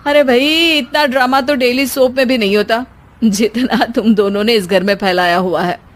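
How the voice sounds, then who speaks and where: A woman's voice: fairly high pitch, moderate pace, lightly acted. An exasperated young married man in a cartoon acted by a woman. He sounds weary and firm, with a sarcastic edge as he tries to stay in charge. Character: exasperated young married son, caught between two women.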